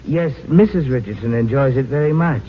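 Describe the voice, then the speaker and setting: An actor playing a mild, overly polite neighbor man in a 1940s radio comedy, halting and self-effacing. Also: mid-range voice, lightly acted. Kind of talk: 1940s American radio sitcom acting. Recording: muffled, noisy old radio recording.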